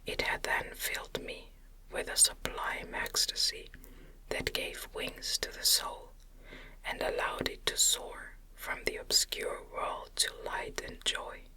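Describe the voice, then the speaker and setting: A woman whispering a novel as an ASMR audiobook narrator, gentle and deliberate in her phrasing, close to the microphone. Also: rough recording.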